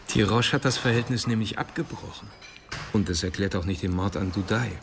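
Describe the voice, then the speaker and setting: A man's voice: lowish voice, brisk, background noise, close to an everyday voice. A man playing a practical, teasing police colleague who talks in a wry, matter-of-fact way in a radio crime drama.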